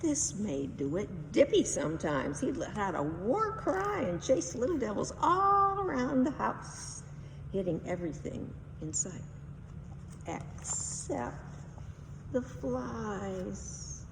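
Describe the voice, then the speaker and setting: A woman narrates a children's story dramatically, with very lively pitch and an unrushed pace. The voice sounds distant in an echoey hall, over faint noise. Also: slow, vocal fry. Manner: dramatic, emphatic. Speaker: read-aloud storyteller.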